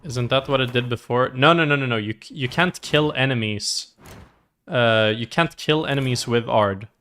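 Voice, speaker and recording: A man's voice: medium pitch, normal speed, sharp tone, slight background noise. A man streaming a video game, casually thinking aloud as he comments on his play for himself and viewers. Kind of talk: casual live gameplay commentary. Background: game music.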